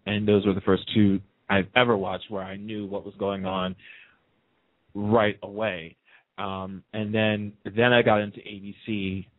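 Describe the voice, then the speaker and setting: A man's voice: low-pitched, no room sound. A man chatting casually as a guest in a radio interview, telling stories in a talkative way. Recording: narrow, tinny phone-line sound.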